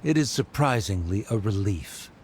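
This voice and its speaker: Man acting disdainful academic father, middle-aged, pompous, formal, varied pitch, game character. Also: expressive, moderate pace, slight background noise, close to an everyday voice. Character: proud, cold scholarly father, disdainful.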